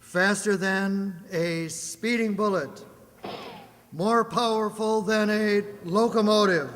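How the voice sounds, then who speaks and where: A man's voice: high voice, steady tone, slow pace, fairly bright tone. Dramatic male radio serial announcer. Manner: dramatic, declamatory. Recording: echoey hall, clear background noise.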